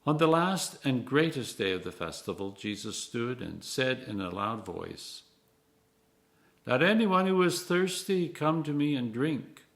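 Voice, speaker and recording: A clergyman reading scripture aloud in an expressive, measured way, phrase by phrase, with emphatic stresses. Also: mid-range voice.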